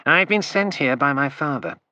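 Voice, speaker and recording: A man plays a smooth, ironic imperial agent with a dry, deadpan wit. The tone is bright and the pitch moves freely. It is recorded close and dry. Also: normal speed, no echo, muffled recording. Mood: wry.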